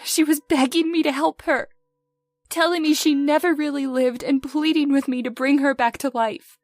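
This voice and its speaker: Actress as a high-voiced, haunted radio-drama wife. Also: female voice, fairly even intonation, moderate pace, no room sound, lightly acted. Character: Sarah Seaton, frightened, haunted, obsessive wife. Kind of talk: old-time radio horror acting.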